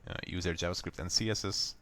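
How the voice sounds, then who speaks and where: A man with a Hungarian accent, a native Hungarian speaker, calmly walking viewers step by step through a software fix in a screen recording. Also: low voice. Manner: unhurried, plain, step-by-step explaining. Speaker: software tutorial maker. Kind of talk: screen-recorded how-to walkthrough.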